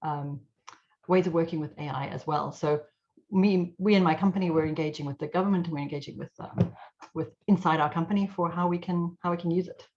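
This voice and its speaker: A middle-aged woman, an IT consultant and guest speaker, telling her story in an animated way over a video call. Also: deep voice, a little fast. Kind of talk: casual webinar talk. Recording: slightly dull lo-fi audio.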